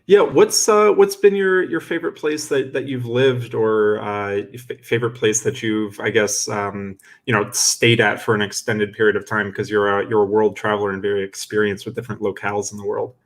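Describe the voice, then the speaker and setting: A man's voice: on the low side, steady tone, moderate pace, few pauses. A young adult man hosting a live-streamed interview webinar over a video call, enthusiastic and full of fillers and restarts. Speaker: webinar host.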